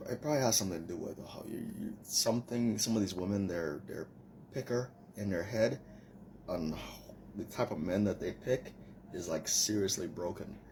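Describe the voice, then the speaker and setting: Boastful deep-voiced Trinidadian man in his forties. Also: darkish tone, a little hiss or hum. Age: 40s. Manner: boastful, mocking, scolding. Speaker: commenter in a stitched video. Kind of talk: casual rant to camera.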